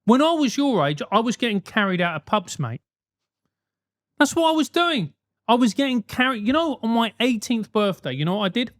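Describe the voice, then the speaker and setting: South London male host, animated advice rant, loose and profane, dry close mic. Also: very high voice, normal speed, no room sound. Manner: animated, emphatic, swears freely.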